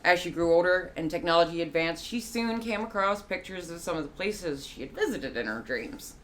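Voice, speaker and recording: A woman with a low voice narrates a short story for a live reading. Her delivery is measured and careful, with the odd slip that she corrects. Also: animated, fairly bright tone, rough recording. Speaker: guest narrator, musician and artist.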